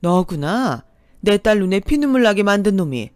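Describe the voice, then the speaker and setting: A woman's voice: mid-range voice, very animated, moderate pace, dry recording, soft background music, close to an everyday voice. A woman voicing a hot-tempered older mother who fiercely scolds a man to protect her daughter. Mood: furious. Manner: scolding, loud and forceful.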